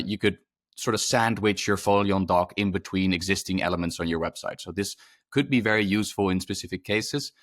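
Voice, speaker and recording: Low-voiced man presenting a webinar. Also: fairly bright tone, no room sound, clean studio sound. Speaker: presenter, product specialist. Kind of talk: webinar explanation, unscripted.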